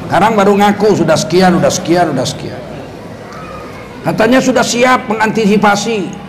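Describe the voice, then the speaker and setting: A man's voice: sits high, animated, moderate pace, noisy background. A male Islamic preacher, giving a religious lecture laced with sarcastic political commentary and rhetorical questions to the audience. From North Sumatra, Indonesia.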